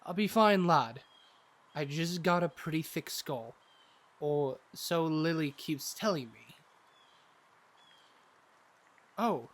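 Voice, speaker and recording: Man acting a slow-spoken, earnest fantasy adventurer. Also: on the high side, a bit bright. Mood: reassuring. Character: good-hearted, thick-skulled married man on fantasy mission. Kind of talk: fantasy audio roleplay acting.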